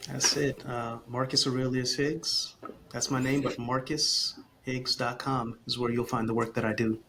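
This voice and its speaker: Earnest, expressive man, faint noise. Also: mid-range voice, moderate pace. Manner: earnest, expressive, repeats phrases while thinking. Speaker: guest, communication coach for parents. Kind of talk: casual podcast conversation.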